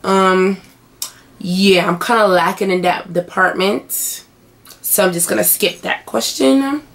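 A young woman vlogging in a small bedroom, hoarse and chatty as she answers tag questions to her webcam. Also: mid-range voice, slow. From United States. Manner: chatty, animated hand gestures. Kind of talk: casual chat.

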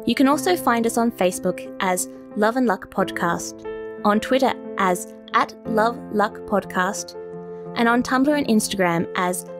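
A woman delivers scripted closing credits for a podcast as its announcer. Her voice sits at an average pitch, with guitar music clearly underneath. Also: a little slow.

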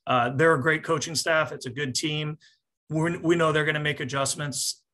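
A man in his forties, a coach explaining things in a measured way at a post-match press conference, speaking on a video call. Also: fairly high pitch, steady tone, sharp tone. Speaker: volleyball coach. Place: small indoor office, on a video call.

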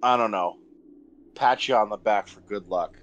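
A man's voice: fairly low pitch, normal speed, a bit bright, muffled recording. A man chatting casually as a player in a tabletop roleplaying game. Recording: faint background noise.

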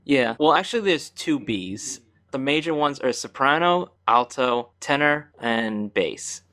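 A man's voice: mid-range voice, expressive, measured pace, sharp tone. A man co-hosting a casual podcast, earnestly explaining singing terms. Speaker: podcast co-host.

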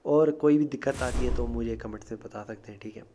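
A man's voice: medium pitch, quick pace, dark tone, slight background noise. A man with an Indian accent giving a casual tutorial voice-over as a video host, informal and often checking in with a short tag phrase. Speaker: YouTube tech tutorial host. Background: music.

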